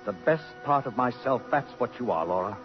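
An actor playing a cultured, possessive, murderous aesthete, pompous and disdainful, in a 1950s radio drama. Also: male voice, mid-range voice, expressive, brisk, close to an everyday voice. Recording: muffled old radio, music behind.

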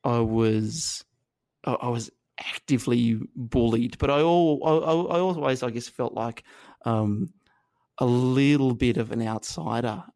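Halting, self-deprecating Australian man. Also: medium pitch, darkish tone. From northern New South Wales, Australia. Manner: halting and self-deprecating, many restarts and fillers, chuckles. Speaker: guest. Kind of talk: reflective conversational interview.

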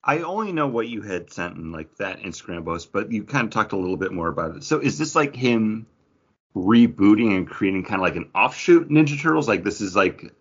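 A man's voice: on the low side, very animated, fairly quick, slightly rough, muffled recording. A man talking casually as co-host of a comic book podcast. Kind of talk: casual chat.

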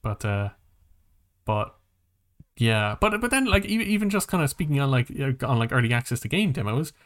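A man co-hosting a podcast in casual conversation, talking in a rambling, halting way and often rephrasing mid-sentence. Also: mid-range voice, very animated, a bit bright. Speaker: podcast co-host.

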